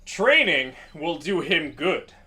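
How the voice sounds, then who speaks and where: A man's voice: sing-song, slow pace, pushed and bright, slightly rough, full character voice. A man voicing a menacing, stern male cat warrior with a growl, in an acted fan audiobook. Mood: stern, impatient. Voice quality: deep growl.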